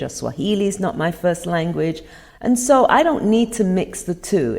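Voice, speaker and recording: A woman's voice: on the low side, warm tone. A woman hosting a podcast, talking earnestly through tips on communication and stressing key words. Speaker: podcast host. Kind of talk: motivational talk over video clips.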